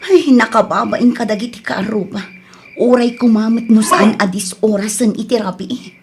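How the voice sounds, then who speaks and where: An actress playing an anxious, long-suffering wife in an Ilocano radio drama, with an Ilocano accent and a plaintive manner. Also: female voice, a little high, moderate pace, noisy background, close to an everyday voice. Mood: exasperated scolding. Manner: plaintive, scolding, wailing when distressed.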